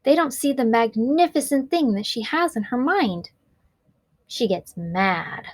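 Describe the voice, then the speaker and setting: A woman with a high voice reads a children's picture book aloud at an unhurried pace. Her pitch swings in a lively way as she leans on each action word, in an expressive storytelling manner. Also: dry recording. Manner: expressive, deliberate storytelling with emphasized action words. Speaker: read-aloud teacher.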